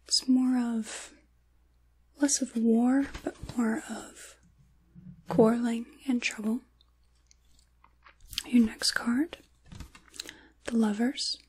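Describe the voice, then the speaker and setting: A woman playing a kindly, caring witch in a soft, intimate ASMR tarot roleplay, largely whispered, with a gentle, reassuring manner. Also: a little high, fairly even intonation, very slow, pauses often, husky, heavily acted. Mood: gently mystical.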